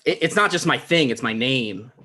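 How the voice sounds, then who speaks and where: A man's voice: mid-range voice, lively intonation, moderate pace, bright, forceful tone. A man playing a self-important, insecure would-be talk show host, dismissive and argumentative, in a comedy table read. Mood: wounded.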